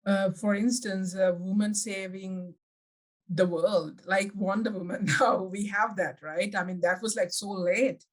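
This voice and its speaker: A woman's voice: medium pitch, steady tone. Sri woman answering thoughtfully. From Sri Lanka. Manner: thoughtful, pauses often to search for words. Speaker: guest. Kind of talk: reflective personal interview answers.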